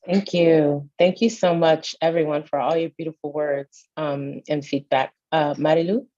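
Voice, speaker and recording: A woman with a low, mellow voice acts as the facilitator of a group call. She keeps her thanks short and gracious before handing off to the next speaker, and the audio comes through dull and lo-fi. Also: fairly even intonation, normal speed.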